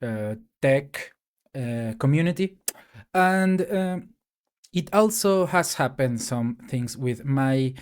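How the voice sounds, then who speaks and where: A man's voice: mid-range voice, animated, takes it slowly, darkish tone, smooth. A man from Mexico, a native Spanish speaker with a Mexican accent, giving a casual, hesitant solo rant to camera with many fillers and restarts. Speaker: tech YouTuber.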